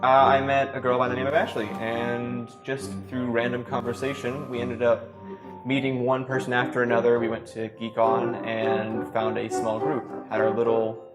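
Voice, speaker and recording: A man's voice: medium pitch, monotone, normal speed, low-quality recording. A man in his twenties, a club president, speaking matter-of-factly in a casual interview. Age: 20s. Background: guitar music.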